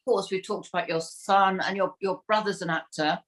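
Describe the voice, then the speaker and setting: A woman's voice: mid-range voice, steady tone, moderate pace, a bit bright. A middle-aged woman, casually putting questions to a guest as a panel host on a video call. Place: home room, indoors, on a video call.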